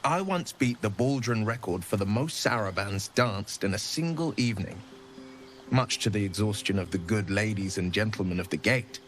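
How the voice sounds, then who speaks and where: A man's voice: on the low side, moderate pace, fairly bright tone, soft background music, lightly acted. A man playing a gallant, modest adventurer of noble upbringing in a fantasy game, speaking in a courtly, earnest and slightly bashful way. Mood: playful, wistful. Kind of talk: cinematic fantasy game acting.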